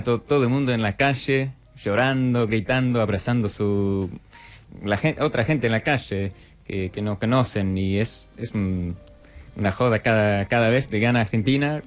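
A young man in his twenties from Scotland, whose first language is English, answering interview questions in learned Spanish with a Scottish accent, hesitant, with short answers and restarts. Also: on the low side. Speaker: guest, bagpiper.

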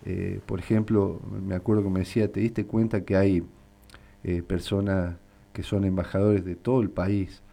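Slow-talking guy with a catamarca accent, lowish voice, lots of ehs, radio studio guest. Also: level tone, vocal fry, dark tone. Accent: Catamarca Argentine Spanish. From Catamarca, Argentina. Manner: unhurried, with frequent hesitations. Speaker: singer guest. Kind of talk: radio interview.

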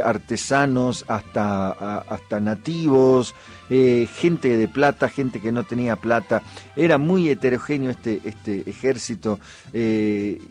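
A man's voice: lowish voice, darkish tone. An Argentine man hosting a program, telling history in a chatty, digressive way, full of asides and fillers. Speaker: program host. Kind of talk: casual history storytelling.

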